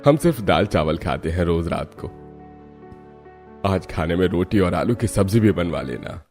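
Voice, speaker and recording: A man voicing a calm, reassuring middle-aged father-in-law in a Hindi family cartoon. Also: low-pitched, moderate pace, faint music behind.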